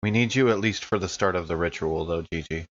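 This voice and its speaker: A man voicing an earnest, practical, questioning man in a tabletop game, measured and matter-of-fact. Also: low voice, lo-fi sound, lightly acted. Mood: practical, probing.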